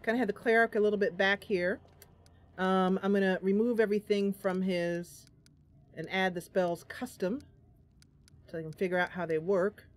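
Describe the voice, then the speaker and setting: A woman's voice: mid-range voice, steady tone, normal speed. A woman giving casual, chatty let's play commentary, thinking aloud and reacting as she plays. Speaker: gaming channel host.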